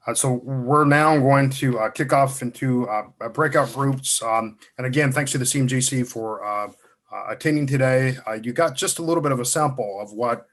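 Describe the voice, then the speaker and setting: Guy chairing a meeting, polite, lots of uhs, mid-pitched. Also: normal speed. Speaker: meeting facilitator.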